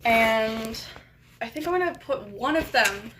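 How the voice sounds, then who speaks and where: Young woman, Canadian accent, high voice, scattered casual streamer chatter; echoey room. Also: animated, a little slow, noisy background. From England. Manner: chatty and scattered, talking to chat while fiddling with her setup. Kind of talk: casual live-stream chat. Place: small rented room at a desk.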